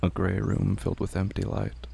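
A man narrating a scripted horror audio drama in terse, grave, deliberate phrases with long pauses. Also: low-pitched, completely flat delivery, creaky, dark tone, slightly rough.

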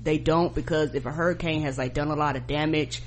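A woman's voice: sits low, fairly even intonation, a little fast, slight background noise. A woman co-hosting a podcast, giving casual, colloquial commentary. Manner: colloquial, plain-spoken. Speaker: podcast co-host.

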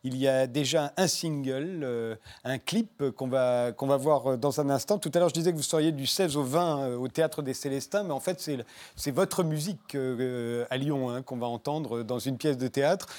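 Man, talk show host, medium pitch, relaxed, conversational. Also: moderate pace. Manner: relaxed, conversational questions with fillers.

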